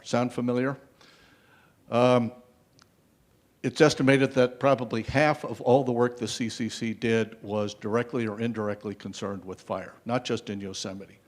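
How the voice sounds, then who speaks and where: An older man speaking on a conference panel with a handheld mic, lecturing in a wry, measured way with frequent fillers. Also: on the low side. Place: conference stage, seated.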